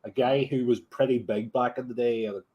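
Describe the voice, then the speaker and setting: Casting: young Northern Irish man, casual call chat, halting delivery. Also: medium pitch, steady tone, mellow, breathy edge, low-quality recording. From Ireland. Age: 20s. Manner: halting, repeats words.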